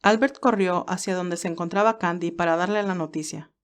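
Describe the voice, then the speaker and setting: A woman's voice: lowish voice, fairly quick. A woman reading scripted fiction as the narrator of an audio story.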